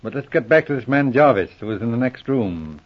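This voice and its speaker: A man plays a shrewd police inspector on a dull vintage radio recording. His voice is husky with lively intonation, and he questions in a composed, authoritative manner. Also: mid-range voice, close to an everyday voice. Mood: probing. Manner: probing, measured, authoritative. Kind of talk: old-time radio drama acting. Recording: muffled old 1940s radio recording.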